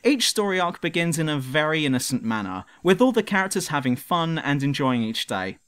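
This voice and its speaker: A man's voice: on the high side, big pitch swings, fairly quick, a bit bright, clean tone. A man reading a scripted review narration in a dramatic, emphatic way, as the presenter of a countdown video. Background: music.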